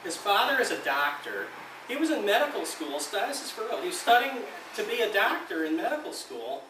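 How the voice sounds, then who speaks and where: A man's voice: sits high, sing-song, a little fast, background noise. A man, an amp designer, telling a rambling story in casual talk, often laughing and acting out what others said. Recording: roomy and distant with faint ambience.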